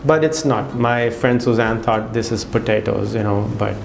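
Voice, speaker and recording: A man's voice: on the low side, fairly even intonation, normal speed, creaky, echoey room, slight background noise. A man from Pakistan with a Pakistani accent, talking casually to camera with lots of fillers and restarts. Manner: chatty, many fillers and restarts. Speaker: vlogger.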